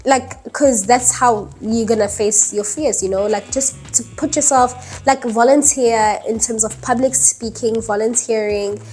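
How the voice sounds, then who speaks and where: A woman's voice: fairly high pitch, unhurried, few pauses, a little mellow. A woman in her early twenties talking casually to camera in a vlog, chatty, with lots of "um" and "like". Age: early 20s. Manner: chatty, many fillers like "um" and "like", laughs lightly. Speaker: vlogger. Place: indoors at night, dim lighting.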